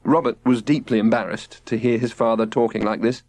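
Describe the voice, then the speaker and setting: A man narrating a children's audiobook in an expressive, scripted storytelling style. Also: medium pitch, fairly quick, no room sound.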